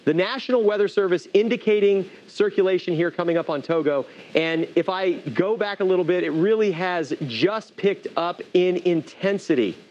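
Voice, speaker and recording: Higher-pitched male meteorologist, dull audio. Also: moderate pace, few pauses, darkish tone. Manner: conversational, explanatory. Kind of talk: live unscripted severe weather commentary.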